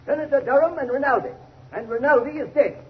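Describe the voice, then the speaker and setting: Very high, emphatic defense lawyer. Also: male voice, fast talker. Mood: earnest protest. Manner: formal, protesting, emphatic. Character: indignant, forceful male defense attorney. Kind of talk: 1930s radio acting. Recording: tinny, noisy 1930s radio recording.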